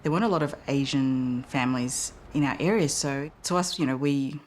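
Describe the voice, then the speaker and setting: A woman calmly recalling family memories as a sister in a documentary interview. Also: rumbling low, brisk, slight background noise. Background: background music.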